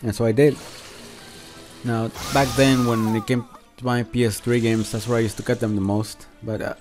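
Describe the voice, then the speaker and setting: A man giving casual, unscripted gameplay commentary as a channel host, talking in a halting, conversational way. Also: mid-range voice, flat delivery, music in the background. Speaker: video game review channel host.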